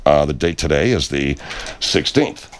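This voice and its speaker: A man's voice: very deep voice, animated, a little slow, slight background noise. A man hosting a comedy radio show, talking in a playful, argumentative way. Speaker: radio host.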